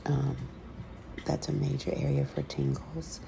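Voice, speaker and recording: A woman speaks in a low register with very heavy, gravelly fry. She takes her time, explaining in a conversational, halting way as an ASMR voiceover host, with pauses between phrases. Clear ambient noise sits behind her voice. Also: big pitch swings. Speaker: host, scratch therapy practitioner. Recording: clearly audible background noise.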